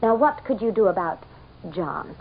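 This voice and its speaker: An actress playing a haughty, desperate wife with a dramatic, scornful intensity in a radio mystery drama. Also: female voice, medium pitch, sing-song, moderate pace, a little hiss or hum. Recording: dull old radio broadcast.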